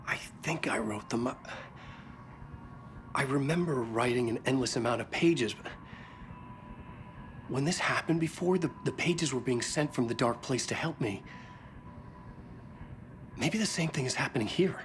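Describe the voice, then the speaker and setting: A man acts out a haunted male writer from a cinematic horror game. He speaks in a solemn, halting way at a middle pitch, earnest and troubled. Clear ambient noise sits behind the voice. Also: lightly acted. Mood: anxious, pleading. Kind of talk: cinematic video game acting.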